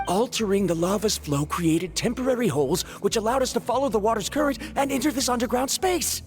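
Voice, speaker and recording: An actor playing a precise, analytical young scholar in a video game, explaining things in a mildly exasperated way, with faint game music behind. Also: male voice, high voice, a little fast, close to an everyday voice.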